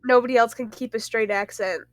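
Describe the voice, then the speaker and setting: A woman playing in a tabletop game, chatting casually with quick jokey interjections. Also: on the high side, fairly even intonation. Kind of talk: casual podcast chat.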